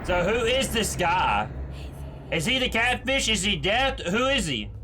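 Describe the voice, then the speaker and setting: A man's voice: sits high, big pitch swings, measured pace, noisy background. A man giving casual reaction commentary as a gaming streamer. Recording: faint game music behind.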